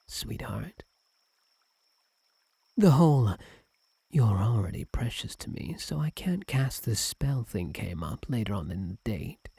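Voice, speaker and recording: Husky, obsessive fox-spirit husband acted by a man. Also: mid-range voice, warm tone, dry recording, exaggerated voice. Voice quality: breathy, husky, at times whispered. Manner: tender, clingy, sweetly menacing. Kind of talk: intimate ASMR roleplay. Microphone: close, dry microphone.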